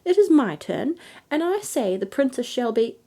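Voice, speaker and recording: A woman's voice: high voice, sing-song, normal speed, dark tone, pitched above the performer's own voice, full character voice. A woman voicing a haughty lady fairy in a storybook, with an affected, theatrical manner. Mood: indignant. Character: haughty, gushing lady fairy in snake-trimmed bonnet.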